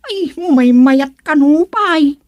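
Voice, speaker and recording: Cheeky Ilocano matchmaker, played by a woman: high-pitched, unhurried, teasing exclamations, radio comedy. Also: expressive, a little mellow, no echo, dull audio. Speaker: actor in radio comedy drama. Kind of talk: Filipino radio drama comedy acting.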